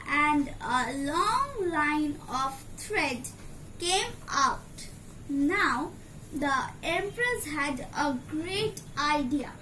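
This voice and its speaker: High girlish female voice, lively intonation, storybook narration, deliberate phrasing, noisy amateur recording. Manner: deliberate, phrase-by-phrase read-aloud delivery. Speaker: storybook reader. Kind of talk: scripted storybook narration for children.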